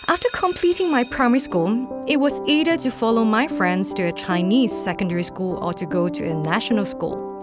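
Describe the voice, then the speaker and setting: A young woman, hosting a podcast and reading her personal story in a measured, reflective way. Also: mid-range voice. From Malaysia. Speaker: podcast host. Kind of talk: personal story read aloud. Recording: voice with music playing underneath.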